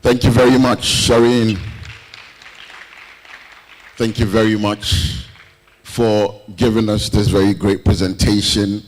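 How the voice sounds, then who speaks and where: A man moderating an online session, announcing slowly and repeating himself. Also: on the low side, flat delivery, a faint crowd. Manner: slow and repetitive, announcing.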